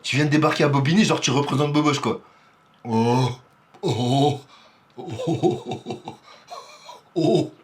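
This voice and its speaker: A man's voice: medium pitch, slow, long pauses, slight background noise. A man from Paris giving live reaction commentary on a rap battle, exclamatory and teasing. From Paris, France.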